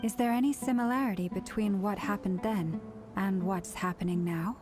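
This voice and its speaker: A gentle, courteous woman voiced by an actress with a middle-pitched voice. She is composed and formal, a polite video game character. Music plays behind her. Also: moderate pace, close to an everyday voice. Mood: inquiring.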